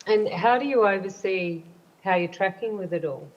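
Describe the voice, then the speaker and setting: A woman's voice: mid-range voice, normal speed. A woman councillor asking a formal, probing question. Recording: dull lo-fi meeting audio.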